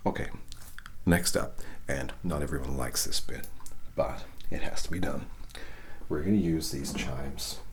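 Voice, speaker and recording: A man softly guiding an ASMR reiki session, half-whispering slowly and checking in with "okay?". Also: a bass voice, slight background noise. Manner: whispery, slow and soothing, frequent "okay?" check-ins. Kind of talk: soft-spoken ASMR guided session.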